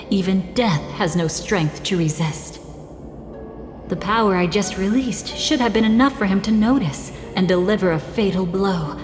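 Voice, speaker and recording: A young woman voicing an enigmatic, teasing game character who is composed and calmly fond of danger. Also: medium pitch, close to an everyday voice. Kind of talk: video game cutscene voice acting. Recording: long echoing reverb, game music behind.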